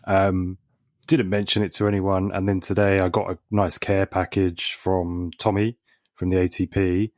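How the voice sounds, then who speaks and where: A man's voice: deep voice, little pitch movement, a little slow, darkish tone, no echo, clean studio sound. A man co-hosting a podcast, telling a story in a laid-back way with ums. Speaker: podcast co-host. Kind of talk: casual chat.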